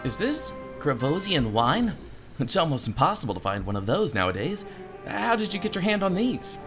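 A man voicing an earnest, excitable young male adventurer in game dialogue, inquisitive and dramatic. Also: fairly high pitch, moderate pace, music in the background, pitched above the performer's own voice. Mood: curious. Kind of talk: game dialogue read aloud.

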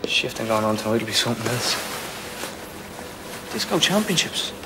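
A man's voice: medium pitch, big pitch swings, moderate pace, background noise, close to an everyday voice. An actor playing an eager young workman with a Dublin accent in a naturalistic television drama. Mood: frustrated, eager.

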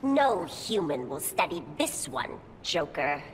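An actress playing a proud, aloof insect-woman creature in a video game, with formal, deliberate speech. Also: on the low side, sing-song, long reverb tail, a little hiss or hum. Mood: aloof defiance. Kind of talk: video game fight intro dialogue.

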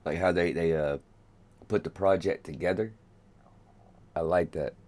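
Man in late thirties, very deep voice, hesitant, rambling, lots of ums, webcam in a small room. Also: steady tone, measured pace, a little mellow. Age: late 30s. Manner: hesitant, rambling, many fillers. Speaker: music reaction host. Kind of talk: casual reaction commentary.

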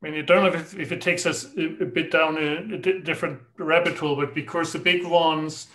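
Brief: fifties man, slightly high voice, halting moderator on a webcam call. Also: steady tone, a bit bright. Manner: halting, many restarts and repeated words. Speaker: meetup moderator. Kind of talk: panel discussion over video call. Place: plain room indoors. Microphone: webcam or laptop mic on video call.

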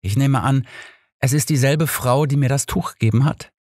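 Man acting embittered woman, audiobook murderess, soft deliberate confession, dry close sound. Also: medium pitch, no room sound, voice pushed up, natural voice. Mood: resigned.

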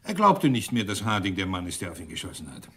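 A man playing an angry, sardonic police inspector in a German radio crime drama, gruff and sarcastic. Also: low-pitched, wildly swooping pitch, fast talker, pushed and bright, slight background noise, full character voice. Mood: bitter. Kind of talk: German radio play acting.

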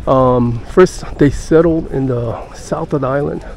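An older man giving casual walking-tour narration as a travel vlogger, halting and pausing mid-sentence. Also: medium pitch, creaky, darkish tone. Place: outdoors in busy city streets and squares. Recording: outdoor city street noise.

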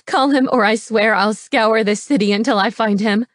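A woman's voice: fairly high pitch, normal speed, dry recording, clean studio sound, lightly acted. A woman voicing a desperate, headstrong young woman in an audiobook, urgent and emotional. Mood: fierce, tearful.

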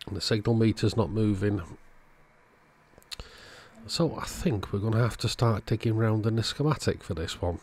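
A man with a deep, gravelly voice talks through a repair at his home workbench. He thinks aloud in a calm, methodical way, and his tone has a slightly husky edge. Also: normal speed, long pauses. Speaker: electronics repair video host. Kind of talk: casual narrated repair walkthrough.

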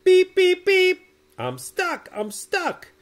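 A man's voice: huge pitch swings, slow pace, exaggerated voice. A man voicing a friendly little blue pickup truck from a storybook, with exclamatory beeps. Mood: alarmed. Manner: high honking beeps, exclamatory.

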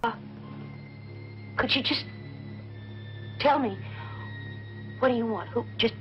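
A woman's voice: a little high, slow, a bit bright. An actress playing a frightened, pleading woman in a muffled horror film clip. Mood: fearful pleading. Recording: muffled film audio under eerie music.

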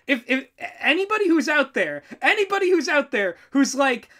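A co-host giving casual, animated commentary with a sardonic, exasperated manner and free swearing. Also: high-pitched, moderate pace. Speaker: podcast-style reaction co-host and writer.

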